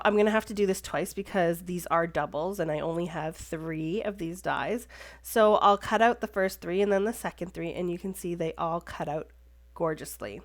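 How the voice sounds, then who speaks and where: A woman narrates a crafting tutorial, her voice stuffy and congested. She talks in a relaxed, chatty way, pausing on fillers and stopping to correct herself as she explains each step. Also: medium pitch. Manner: chatty, with frequent "um" and self-corrections. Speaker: card-making craft tutorial host. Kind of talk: casual voice-over tutorial narration.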